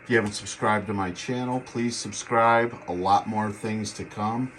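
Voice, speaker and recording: Man, low voice, informal product demo, plain-spoken, clear background noise. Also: steady tone, normal speed, a bit bright. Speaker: radio seller demonstrating gear. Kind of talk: casual product demo vlog. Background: CB radio running in the background.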